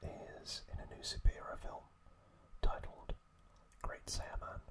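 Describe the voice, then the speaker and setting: A man speaks entirely in a whisper, at a very unhurried pace. He is an ASMR host recapping a story, breaking it into short phrases with hesitant pauses.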